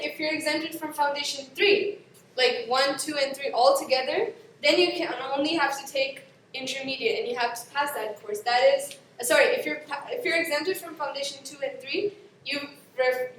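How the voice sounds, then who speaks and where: Give me a high-pitched young woman, originally from Pakistan and a native Urdu speaker, giving a student talk, with a faint hum behind her. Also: fairly bright tone. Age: young adult. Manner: chatty, explains with examples, self-corrects often. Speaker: student guide. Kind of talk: presentation explaining course registration. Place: classroom in front of a screen. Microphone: far camera. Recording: echoey classroom from far off.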